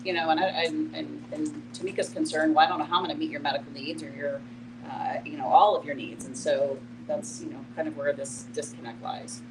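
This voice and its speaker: A woman with a low voice talks quickly in an explanatory panel style. She repeats key phrases for emphasis, and clear noise sits behind her. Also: fairly even intonation.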